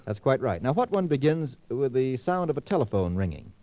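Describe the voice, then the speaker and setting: A man's voice: mid-range voice, sing-song, fairly quick. A man moderating a 1940s radio panel quiz in a precise, wordy, teasing manner. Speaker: quiz show host. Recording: muffled 1940s radio transcription disc.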